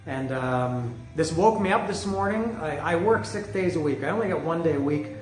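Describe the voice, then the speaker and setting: A middle-aged man hosting a music podcast from home, talking loudly in a casual, rambling way with many restarts. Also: on the high side, animated, moderate pace. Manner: chatty, rambling, with frequent restarts; loud voice. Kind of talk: casual monologue. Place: home, street music outside. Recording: echoey room with music outside. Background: music from outside.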